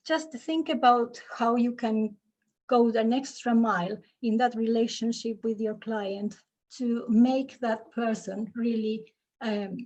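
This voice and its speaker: A woman giving a conference talk to freelancers, explaining in a measured way with fillers and restarts. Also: on the high side, darkish tone. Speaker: conference speaker. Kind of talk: presentation to an audience.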